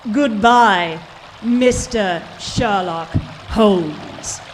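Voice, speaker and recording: A man playing a gloating, menacing criminal mastermind in an old-time radio drama, with drawn-out theatrical delivery. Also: high-pitched, slight background noise. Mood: gloating menace.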